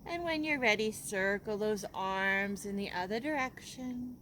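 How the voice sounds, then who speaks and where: A woman leads a guided relaxation as a yoga teacher. She speaks very slowly and gently, leaving soothing pauses between instructions. Her mid-pitched voice sits over faint background noise.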